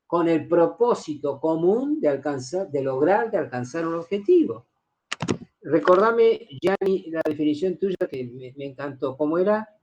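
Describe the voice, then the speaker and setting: A man's voice: fairly high pitch, animated, moderate pace, a little mellow, dull audio. A middle-aged man giving a university lecture, explaining step by step, repeating key phrases and checking in with his students.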